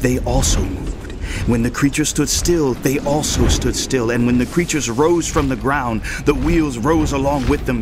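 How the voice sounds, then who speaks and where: A man playing an awestruck prophet in a dramatized scripture reading, recounting visions in a solemn, measured, reverent way. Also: medium pitch, lightly acted. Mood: reverent wonder. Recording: loud music and effects behind voice.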